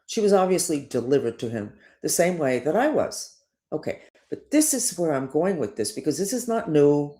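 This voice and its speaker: Older woman lively rambling monologue. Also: low-pitched, moderate pace. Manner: digressive, emphatic, lively up-and-down delivery. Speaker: spiritual teacher.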